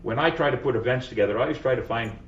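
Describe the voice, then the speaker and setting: A man's voice: mid-range voice, rapid-fire, a little hiss or hum. A man hosts a casual podcast chat, rambling and correcting himself as he jokes along. He sits well back from the mic in an echoing room. The sound is dull and lo-fi. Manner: rambling, self-correcting, jokey. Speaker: podcast host. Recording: roomy, distant, dull lo-fi sound.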